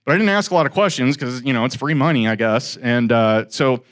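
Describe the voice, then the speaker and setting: A man's voice: mid-range voice, a little fast, a bit bright. A man in his forties giving an informal talk to a live audience in a room, chatty and joking, teasing listeners in asides. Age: 40s. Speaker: guest speaker at an investor meetup.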